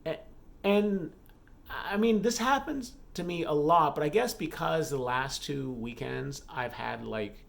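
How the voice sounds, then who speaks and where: A man chatting casually to his vlog audience as an author, halting and repeating phrases for emphasis. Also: a little high, animated, gravelly. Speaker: romance author and vlogger.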